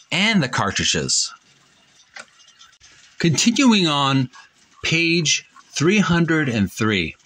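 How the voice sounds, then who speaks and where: A man hosting a retro gaming channel, reading aloud from a book in deliberate, halting phrases and adding casual, folksy commentary. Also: mid-range voice, a bit bright.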